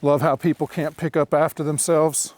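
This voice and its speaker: A man's voice: on the high side, little pitch movement, normal speed, a little mellow. A middle-aged man giving casual running commentary as he hosts a gear demonstration outdoors. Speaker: drone pilot and video host. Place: outdoors in open dry hills.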